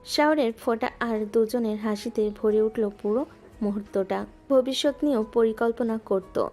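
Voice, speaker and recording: A woman gently narrating a scripted romantic audio story. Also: on the high side, moderate pace. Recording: music bed underneath.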